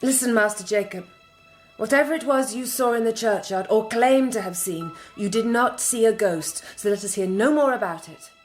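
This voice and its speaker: A woman playing a sceptical, commanding young Victorian gentlewoman with an English accent in a radio drama. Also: fairly high pitch, moderate pace, faint music behind, close to an everyday voice. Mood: brisk, sceptical. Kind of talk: British period radio drama acting.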